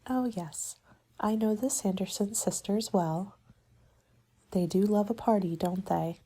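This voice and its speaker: Soft, mellow woman in ASMR roleplay. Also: medium pitch, slightly rough. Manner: polite, measured, businesslike consultant patter. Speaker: party planning agent in an ASMR roleplay. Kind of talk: soft-spoken ASMR roleplay, partly whispered.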